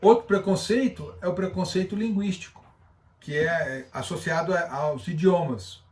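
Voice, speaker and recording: Halting Brazilian man lecturing, distant from the mic. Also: sits high, darkish tone. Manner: halting, searching for words. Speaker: teacher giving a class. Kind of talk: explanatory lecture with loose reading from notes. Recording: distant from the microphone.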